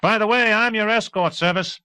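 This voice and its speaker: A man's voice: sits high, normal speed, pushed and bright, clear, resonant tone, dry recording, voice pushed up. A man voicing a cocky, grinning American helicopter pilot with breezy, slangy banter in an audiobook. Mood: breezy, excited.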